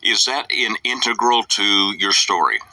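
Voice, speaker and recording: A man's voice: lowish voice, normal speed, bright, forceful tone, no room sound, poor audio. A man hosting an author interview, asking questions with many uhs and a little light joking. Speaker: talk show host. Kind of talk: interview host.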